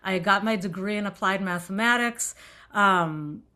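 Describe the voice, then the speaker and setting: A woman from Israel with a faint accent speaks to a gathered group in a relaxed lecture. Her voice is bright and clear, and she talks in a chatty way, often pausing on fillers and restarting her sentences. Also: mid-range voice. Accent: light Israeli. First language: Hebrew. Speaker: synagogue musician giving a talk.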